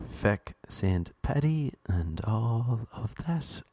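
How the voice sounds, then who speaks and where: A young man with a Cork Irish accent, telling stories in a deadpan, self-mocking, rambling way. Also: lowish voice, extremely slow, breathy edge, dry recording. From Ireland. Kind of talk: casual storytelling monologue.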